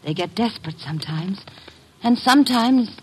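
An actress playing an indignant young niece in an old-time radio drama, intense, wounded and dramatic. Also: female voice, mid-range voice, expressive, normal speed, close to an everyday voice. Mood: defiant, menacing. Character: indignant young niece defying her controlling uncle. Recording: noisy old radio recording.

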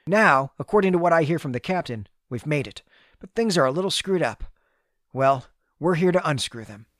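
A man's voice: fairly high pitch, lively intonation, normal speed, a bit bright, no room sound, studio quality. A man voicing a cocky, blunt Marine commandant of twenty-two as he reads from a science fiction story. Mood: confident, blunt.

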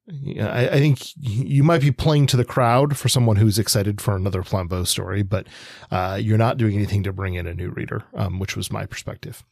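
A man co-hosting a podcast, discussing literature in a measured, analytical, conversational way. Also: on the low side, steady tone, creaky, a little mellow, husky, no echo, clean studio sound. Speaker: podcast co-host.

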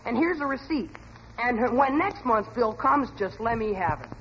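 A man's voice: very high-pitched, lively intonation, moderate pace, a bit bright, full character voice. A young man playing an eager, cocky teenage son in a 1940s radio sitcom. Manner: eager, earnest, boyish. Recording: dull, hissy old radio recording.

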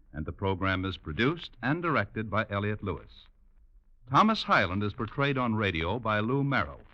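A man reading scripted announcements in the crisp, formal style of a 1950s radio announcer. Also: fairly low pitch, pushed and bright. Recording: dull vintage radio broadcast recording.

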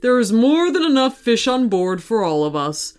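A woman voicing a hungry male shark in a storybook reading, blunt and matter-of-fact in an unhurried, slightly distant way. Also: on the high side, very animated, a little mellow, smooth. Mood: calm.

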